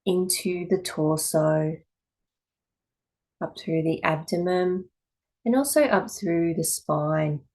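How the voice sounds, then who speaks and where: Low-pitched woman guiding a yoga class. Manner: calm, measured, with pauses between short phrases. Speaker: yoga teacher. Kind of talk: guided meditation instruction.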